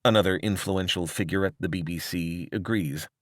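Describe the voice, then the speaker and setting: A man reading a scripted piece of long-form journalism as narrator, in a measured, articulate way. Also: sits low, dry recording, clean studio sound.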